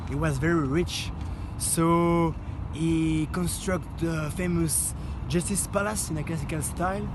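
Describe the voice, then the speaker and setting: High-voiced man guiding a street tour. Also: steady tone, a little slow. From Belgium. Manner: earnest, explanatory, addresses a group. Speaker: tour guide. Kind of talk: guided walking tour talk. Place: outdoors on a city street. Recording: loud outdoor street noise. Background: loud city traffic and street ambience.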